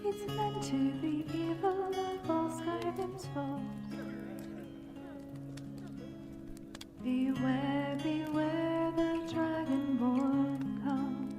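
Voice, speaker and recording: A woman's voice: high-pitched, steady tone, slow, hardly any pauses. A young woman playing a traveling bard, singing folk ballads in a fantasy audio roleplay. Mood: solemn, reverent. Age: young adult. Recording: roomy echo, plucked instrument music behind.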